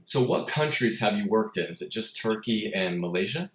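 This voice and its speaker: A man's voice: fairly low pitch, lively intonation, moderate pace, fairly bright tone. A man hosting an interview, putting conversational questions to a guest. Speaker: interviewer, host. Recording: roomy, echoing room sound.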